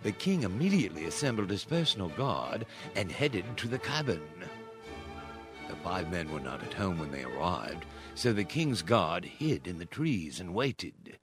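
A man narrating a fairy-tale radio play in a scripted storybook style, with dramatic, deliberate pauses. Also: low voice, big pitch swings, a bit bright, soft background music.

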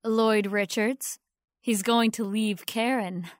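A woman's voice: fairly high pitch, fairly even intonation, slow pace, dry recording, clean studio sound. A woman acting in an audio drama as an ambitious, scheming young stage actress, poised and self-assured with a sweet front. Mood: eager, confiding.

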